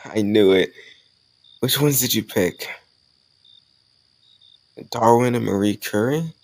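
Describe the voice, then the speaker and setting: A man playing an easygoing male college student who chats casually and teases gently in an intimate romantic audio roleplay. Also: lowish voice, slow pace, no room sound. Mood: friendly, teasing. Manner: casual, conversational, gently teasing.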